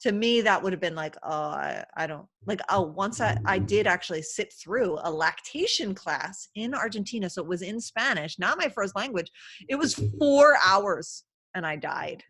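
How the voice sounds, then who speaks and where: A woman's voice: mid-range voice, expressive, normal speed, fairly bright tone. A woman in her thirties hosting a casual live-stream chat, talking loosely with many fillers and laughs. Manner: chatty, laughing, lots of fillers. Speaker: host.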